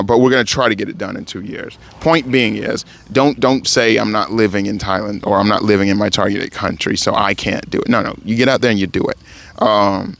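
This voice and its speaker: A man speaks casually in a vlog about his language study. His voice sits low and has a gravelly, fry-edged texture. He rambles, corrects himself as he goes, and turns encouraging toward his viewers. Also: a bit bright. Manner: rambling, self-correcting, motivational. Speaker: language-learning vlogger. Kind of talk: casual spoken update to camera. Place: home in Thailand.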